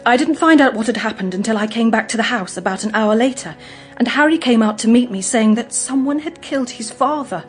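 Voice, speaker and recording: An actress playing a gentle, guarded young English woman in a radio drama, speaking in an earnest, hesitant, confiding way. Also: a little high, brisk, faint music behind, lightly acted. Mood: earnest, anxious.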